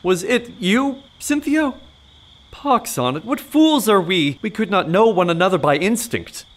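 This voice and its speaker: A man playing an excitable, easily offended young gallant in a period audio farce, florid and exclamatory. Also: high-pitched, a little slow. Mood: sheepish surprise.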